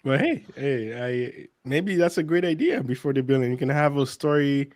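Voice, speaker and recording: A man's voice: mid-range voice, animated, normal speed, darkish tone, no room sound. A man on a live panel who jumps in curiously with questions. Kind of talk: casual chat.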